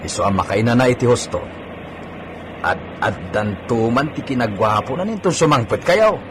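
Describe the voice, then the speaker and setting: A man's voice: medium pitch, animated, moderate pace, noisy background, lightly acted. A man playing a cheerfully deferential, folksy servant in an Ilocano radio comedy-drama, colloquial and reassuring. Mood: eager, reassuring.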